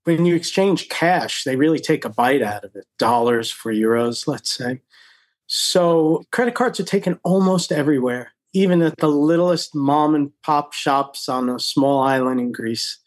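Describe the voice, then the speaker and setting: A man's voice: fairly high pitch, normal speed, dry recording, studio quality. An older American man chatting casually as an interview guest and telling stories from his own experience. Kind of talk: casual storytelling chat.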